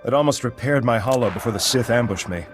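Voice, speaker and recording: An actor playing a wounded Jedi agent who talks in an earnest, urgent way. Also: male voice, medium pitch, fast talker, close to an everyday voice. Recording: game audio with faint music.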